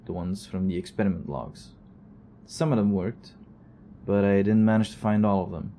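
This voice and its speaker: Over steady background noise comes an actor as a male investigator in an audio drama, low and soft-edged. He rambles through his recorded log with weary, dry sarcasm. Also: normal speed, natural voice. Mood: musing, dry.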